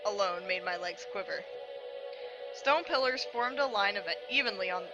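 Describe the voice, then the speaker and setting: A woman's voice: mid-range voice, normal speed, muffled recording. A woman hosting a game preview, reading visual novel text aloud expressively and adding chatty, reactive asides. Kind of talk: narration of visual novel text with casual commentary. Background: game music.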